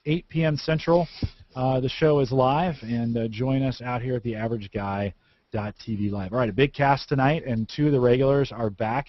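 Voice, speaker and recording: Man, podcast host, middle pitch, rambling and chatty, dry close studio sound. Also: dry recording, dull audio. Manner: chatty, rambling with many fillers and restarts. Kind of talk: casual tech podcast chat.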